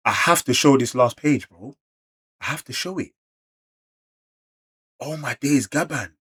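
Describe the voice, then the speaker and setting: A man with a London accent giving an excited, slangy read-along reaction to manga, full of exclamations. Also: mid-range voice, measured pace, breathy edge. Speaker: YouTube reactor.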